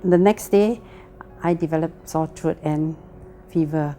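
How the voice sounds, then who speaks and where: A woman's voice: low voice, measured pace, mellow. A woman with a Malaysian English accent giving a plain, earnest personal testimony. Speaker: testimony speaker.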